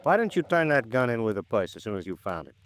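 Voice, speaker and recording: An American man playing a blunt, folksy police lieutenant who lectures gruffly, in old-time radio drama style. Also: medium pitch, big pitch swings, quick pace, close to an everyday voice. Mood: stern, scolding. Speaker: supporting actor.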